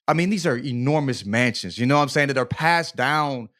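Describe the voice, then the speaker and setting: Guy on a podcast just chatting, slangy, sweary, regular mid pitch, keeps checking you're following. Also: fairly quick, fairly bright tone. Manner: loose, slangy, swearing, repeated check-in tags. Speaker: podcast co-host. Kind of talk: casual conversational podcast discussion.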